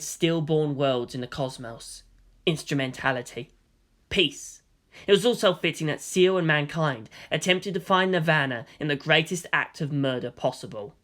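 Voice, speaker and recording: Casting: narrator for dark dramatic fiction, solemn and portentous, with a bright, tense edge and deliberate phrasing. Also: mid-range voice. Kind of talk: dramatic scripted story narration.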